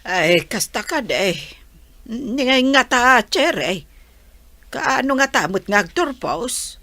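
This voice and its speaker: A woman's voice: on the high side, very animated, takes it slowly, bright, forceful tone, no echo, exaggerated voice. A woman with an Ilocano accent playing an inquisitive, simple village mother in a radio comedy drama.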